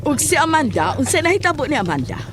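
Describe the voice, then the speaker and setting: A woman's voice: sits high, big pitch swings, quick pace. An actress playing a grave, demanding woman in a horror radio drama, with a Cebuano Filipino accent. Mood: alarmed, questioning. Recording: loud music bed with vehicle sounds.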